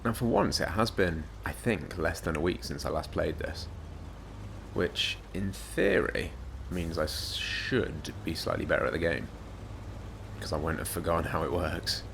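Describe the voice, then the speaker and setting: A man with a slightly croaky voice, giving rambling, self-mocking gameplay commentary. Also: deep voice, a little slow, fairly bright tone, a little hiss or hum. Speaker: gaming YouTuber.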